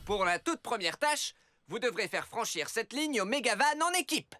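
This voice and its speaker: Animated high-pitched cartoon superhero teacher. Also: male voice, normal speed, faint music behind, heavily acted. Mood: announcing. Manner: encouraging, theatrical, announcing. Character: upbeat adult male superhero schoolteacher. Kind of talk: French children's cartoon dub acting.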